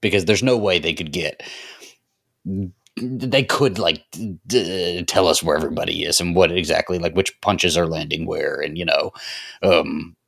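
A man's voice: low-pitched, normal speed, gravelly, fairly bright tone, no room sound, clean studio sound. A man co-hosting a podcast in casual banter, with a wry, teasing, colloquial way of talking. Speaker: podcast co-host.

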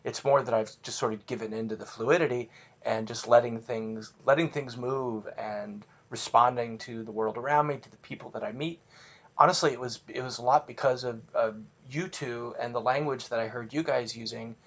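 Halting middle-aged man searching for words. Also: medium pitch, steady tone. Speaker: podcast guest. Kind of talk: casual podcast chat.

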